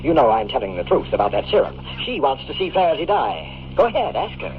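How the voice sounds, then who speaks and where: Formal, expressive mystery man. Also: on the high side, brisk. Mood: solemn, urgent. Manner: formal, precise, solemn. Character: formal, coolly menacing mysterious man from the future. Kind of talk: 1950s radio drama acting. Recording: muffled, noisy old radio recording.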